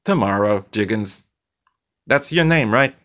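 A man's voice: lowish voice, lively intonation, a little slow, fairly bright tone. A man voicing a slick, blunt male bartender who talks in a teasing, lecturing way.

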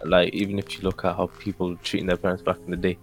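Low-voiced young man. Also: monotone, quick pace, faint music behind. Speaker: podcast co-host. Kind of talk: casual podcast chat.

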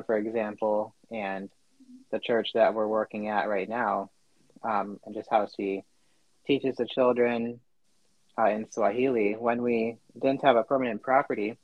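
A man's voice: fairly low pitch, no echo. A man working as a missionary, speaking earnestly and steadily in casual conversation. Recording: dull dry recording.

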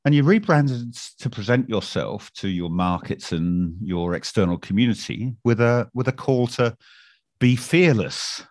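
A man hosting a podcast, measured, with fillers and repeated words. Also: mid-range voice, lively intonation, a little mellow, no room sound, studio quality. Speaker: podcast host. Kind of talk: podcast interview and commentary.

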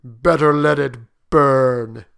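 A man voicing a grave, world-weary middle-aged sergeant major who speaks solemnly and gruffly in a storybook reading. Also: fairly high pitch, expressive, unhurried, fairly bright tone. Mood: stern warning.

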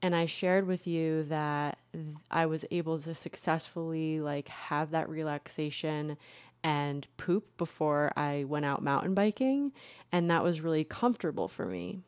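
A young woman talks through her own podcast in a low, unhurried voice. She's chatty and a little self-mocking, circling back with fillers and restarts. The sound is close and dry, with no room around it. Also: clean tone, no room sound. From Connecticut. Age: young adult. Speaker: podcast host, therapist. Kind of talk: casual solo podcast talk.

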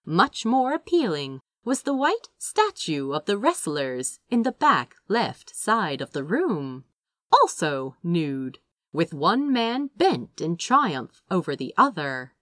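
A woman reading a story aloud as expressive scripted narration. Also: on the low side, slow, dry recording, clean studio sound. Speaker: audiobook-style reader of fan fiction.

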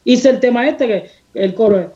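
A woman's voice: fairly high pitch, normal speed, warm tone, highs cut off. A Cuban woman singer with a Cuban Spanish accent, answering interview questions with passion and emphasis, sometimes hunting for a word. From Cuba. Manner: passionate, emphatic, searches for words. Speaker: singer guest.

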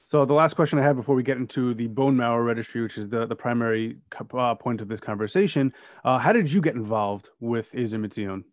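A man's voice: mid-range voice, fast, dry recording, highs cut off. A man hosting an interview, asking plain questions and giving brief reactions. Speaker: podcast host.

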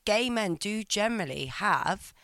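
Lively, teasing woman. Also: medium pitch, measured pace, no echo, studio quality. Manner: teasing and animated, asks questions. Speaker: podcast co-host. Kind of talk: casual chat.

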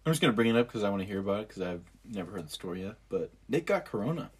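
Young male podcast co-host, lively pitch, emphatic and giggly. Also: very fast, breathy edge. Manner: animated, laughing, emphatic. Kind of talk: casual chat.

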